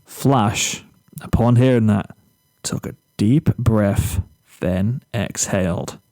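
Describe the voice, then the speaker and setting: A man narrates a written story at a slow, measured pace. His tone is mellow and soft-edged, and the recording is very dry. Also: lowish voice, no echo, rough recording.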